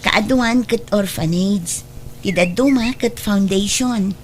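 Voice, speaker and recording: A woman's voice: medium pitch, slow, slight background noise, close to an everyday voice. A woman playing a polite, dutiful assistant in an audio drama, deferential and helpful, with a Northern Philippine accent. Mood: polite, attentive. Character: polite, dutiful young female assistant to a wealthy madam.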